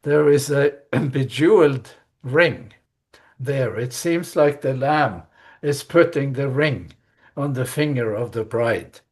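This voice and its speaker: A man giving a class presentation over a video call, relaxed, with fillers and "you see" asides. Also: medium pitch, slow pace. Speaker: lecturer.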